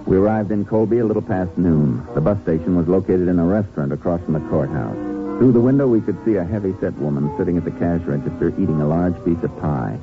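An American actor playing a calm, methodical Texas Ranger in a 1950s radio western, terse, matter-of-fact and probing. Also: male voice, low voice, quick pace, over music. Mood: narrating evenly. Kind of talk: 1950s radio western crime drama acting. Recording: muffled, narrow-band 1950s radio recording.

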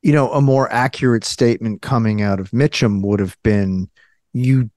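A man's voice: mid-range voice, moderate pace, darkish tone, dry recording, clean studio sound. A man from New Jersey hosting a casual interview podcast, talking in loose restarts and fillers. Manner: conversational, trailing restarts and fillers. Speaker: podcast host.

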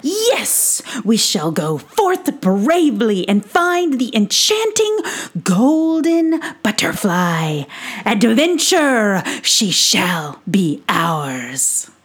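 A woman's voice: mid-range voice, big pitch swings, very slow, slightly rough, full character voice. A woman voicing Brisbane, a small, self-important male warrior creature who talks in grand, old-fashioned heroic phrases. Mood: grandly boastful.

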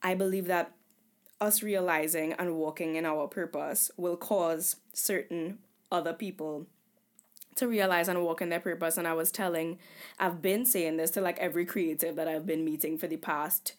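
A woman co-hosting a podcast with a fairly low voice. She speaks in an earnest, reflective way, thinking things through as she talks. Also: steady tone, moderate pace. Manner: earnest, reflective, wraps up the episode. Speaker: podcast co-host. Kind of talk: casual chat.

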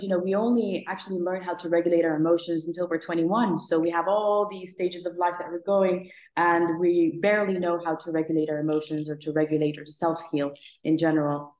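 Woman in her thirties, from Morocco, low voice, reflective interview guest, fillers and restarts, echoey room. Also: fairly even intonation, brisk, darkish tone. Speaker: guest, app founder. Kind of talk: conversational interview.

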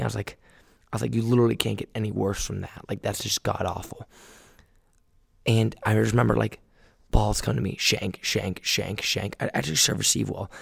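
A young man, a college volleyball player, talking casually in a vlog recap, his voice hoarse and raspy from a road trip. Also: fairly low pitch, no echo, clean studio sound. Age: young adult. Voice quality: raspy, gravelly.

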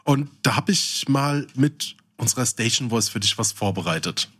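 A man's voice: medium pitch, lively intonation, moderate pace, a bit bright, husky. A man co-hosting a podcast, chatting casually in a colloquial, wry way. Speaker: podcast co-host. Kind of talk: casual chat.

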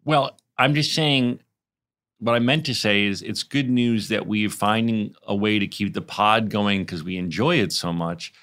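A man's voice: fairly low pitch, measured pace, fairly bright tone. A man with an American accent co-hosting a podcast in a casual, conversational style. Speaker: podcast co-host. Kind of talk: casual chat.

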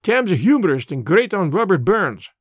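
A man's voice: high-pitched, sing-song, moderate pace, breathy edge, no echo, clean studio sound, heavily acted. A man reading an audiobook, voicing an older Scottish labour radical, blunt and opinionated, in broad dialect with a Scottish accent. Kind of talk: audiobook character voice.

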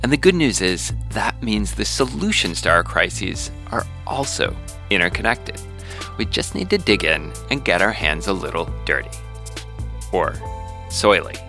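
A man's voice: low-pitched, sing-song, unhurried, sharp tone, soft background music. A man hosting a science podcast, asking questions and narrating in a conversational style.

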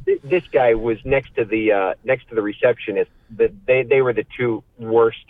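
A man telling a casual, animated comic story in a halting, rambling way, acting out bits of dialogue. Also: medium pitch, no room sound, narrow, tinny audio.

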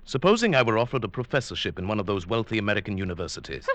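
Deep-voiced, quietly resentful henpecked husband. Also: male voice, big pitch swings, very fast, no echo. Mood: subdued, resentful. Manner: mild, hesitant, drily understated. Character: meek henpecked middle-aged husband, quietly resentful and scheming. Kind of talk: 1940s radio drama acting with first-person narration. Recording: vintage 1940s radio broadcast with music.

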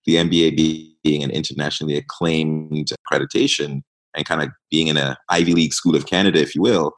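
A man in his thirties with an extremely low voice, raised in East Africa. He talks as an interview guest, reflective and unhurried, and leans on fillers as he tells his story. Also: fairly even intonation. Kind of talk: casual interview talk.

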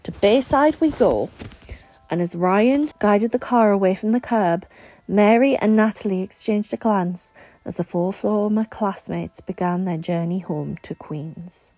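A woman reading fiction aloud in an expressive audiobook narration style, with music behind her. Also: medium pitch, a little slow, no room sound. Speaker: guest reader.

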